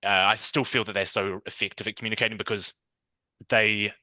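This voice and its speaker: A man's voice: lowish voice, flat delivery, brisk, sharp tone, no room sound. A man with a New Zealand accent, answering questions as a guest on an interview podcast in a thoughtful, conversational way. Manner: thoughtful, conversational, frequent fillers and restarts.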